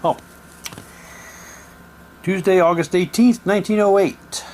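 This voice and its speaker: A man's voice: sits high, steady tone, unhurried, a little mellow. Man presenting casually over noticeable background noise. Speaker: presenter showing audio drama props. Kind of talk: casual show-and-tell, reading prop documents aloud.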